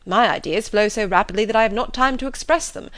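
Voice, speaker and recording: A woman puts on an easygoing young gentleman in an audiobook, bright and lively, his pitch jumping up on protests. He sounds good-natured and quick to laugh at himself, read dry and close. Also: no echo, natural voice.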